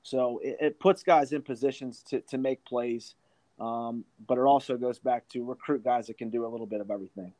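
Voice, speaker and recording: A man's voice: mid-range voice, steady tone, a little fast, warm tone, no room sound. A man speaking as a college basketball coach in an interview, explaining his approach emphatically and repeating points for stress. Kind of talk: interview answers about coaching.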